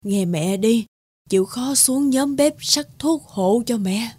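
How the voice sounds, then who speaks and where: A woman's voice: on the high side, takes it slowly, darkish tone, husky, no echo, clean studio sound. A woman playing a weary, sick, doting village mother who pleads plaintively in a cartoon folktale. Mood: pleading. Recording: music under the voice.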